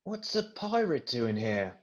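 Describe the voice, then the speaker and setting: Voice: medium pitch, very animated, a little slow. A performer playing a dim, eager, innocent orc underling with a naive, questioning manner at a table read.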